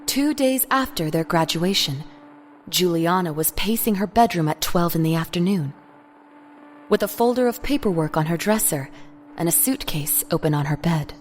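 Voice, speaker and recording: A woman's voice: lowish voice, lively intonation, normal speed, slight background noise. A woman reading scripted third-person story narration for an audio drama. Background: music.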